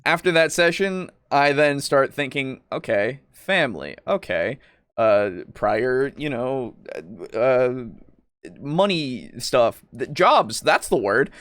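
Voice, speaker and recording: A man's voice: medium pitch, big pitch swings, slow, fairly bright tone, no room sound. A man hosting a casual group discussion, talking informally with some loose swearing. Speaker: podcast host.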